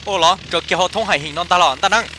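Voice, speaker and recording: A man's voice: sits high, fast talker, pushed and bright, a little hiss or hum. A man performing casual, chatty comic dialogue for a cartoon.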